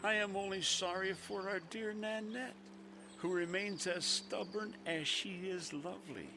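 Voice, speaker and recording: Man playing a slow-talking, wise old owl. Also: sits high, fairly even intonation, background noise. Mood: gently advising. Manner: courtly, measured, avuncular. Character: kindly, wise, dignified old male owl. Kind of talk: audio drama acting for an animal story.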